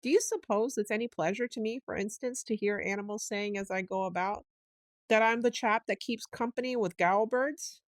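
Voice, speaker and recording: A woman's voice: mid-range voice, moderate pace, no echo, pitched above the performer's own voice, lightly acted. A woman voicing a stern male water rat who lectures his friend in a scolding, emphatic way. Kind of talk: storybook reading.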